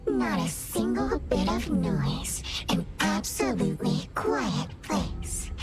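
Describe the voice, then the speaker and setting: A woman's voice: mid-range voice, heavily acted. A woman voicing a haughty, cryptic flower spirit in a video game, reciting in a formal, theatrical way.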